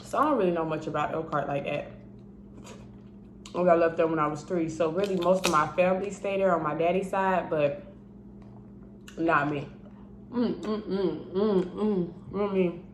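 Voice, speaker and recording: A woman's voice: lowish voice, normal speed, long pauses, echoey room, a little hiss or hum. A woman in her twenties, tipsy, chatting casually while eating on camera, humming and chewing between stories. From Indiana, raised in Miami and Georgia. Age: 20s. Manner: chatty, chews and hums while talking. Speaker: mukbang vlogger. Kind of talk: casual eating vlog chat.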